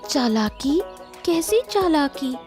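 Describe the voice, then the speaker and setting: A woman's voice: high voice, very animated, slow, full character voice. A woman playing a worried young granddaughter in a cartoon story, earnest, doubtful and questioning. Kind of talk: scripted cartoon story acting. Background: music.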